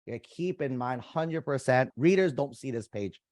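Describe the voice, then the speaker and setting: A man's voice: mid-range voice, animated, normal speed, a little mellow, no echo, clean studio sound. A young man, a college admissions coach whose first language is Vietnamese, talks viewers casually through an online application step by step. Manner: casual, conversational, addresses viewers as "you guys". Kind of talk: screen-share walkthrough tutorial.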